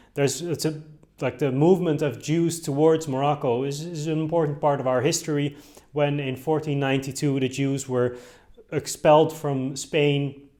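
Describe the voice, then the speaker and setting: A man's voice: a little high, a little mellow. A young Dutch-born man of Moroccan roots commenting on a topic in a casual, hesitant way, with many fillers and restarts. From Netherlands. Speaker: video host commenting on clips.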